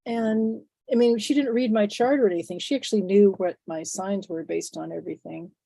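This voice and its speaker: A woman in her sixties, phlegmy and sniffly from a head cold, speaks as an interview guest in a thoughtful, halting way full of fillers and restarts. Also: mid-range voice, gravelly, mellow, dry recording. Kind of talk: reflective conversational interview.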